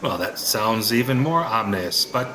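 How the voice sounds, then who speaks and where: Man acting a lively, skeptical American everyman. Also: lowish voice, moderate pace, slight background noise. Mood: skeptical, humoring. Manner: wry, hesitant, colloquial. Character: skeptical, sardonic ordinary husband, hesitant but curious. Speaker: actor in an audio play. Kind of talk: audio drama acting in casual American talk.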